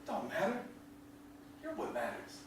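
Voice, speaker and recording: A man playing a bitter, quick-tempered rural father in a stage drama, terse and rustic. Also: high-pitched, wildly swooping pitch, exaggerated voice. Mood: tender. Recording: echoey hall, far from mic, faint noise.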